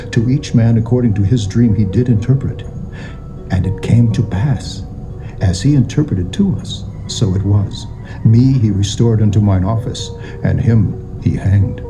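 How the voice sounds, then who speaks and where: Humble royal butler played by a man, low voice. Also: lightly acted, poor audio. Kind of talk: dramatised scripture reading in King James English.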